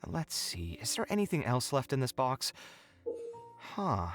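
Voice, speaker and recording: Casting: earnest young architect, expressive and a little wry, thoughtful storyteller, anime game, music underneath. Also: male voice, mid-range voice, unhurried. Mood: curious, wistful. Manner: articulate, thoughtful storytelling delivery. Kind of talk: anime-style video game voice acting.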